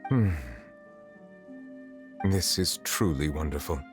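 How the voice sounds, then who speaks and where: Deep voiced dignified judge from a video game, very formal and composed, faint music behind. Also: male voice, expressive, slow pace, full character voice. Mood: quietly moved. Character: dignified, solemn male chief justice, formal and reserved.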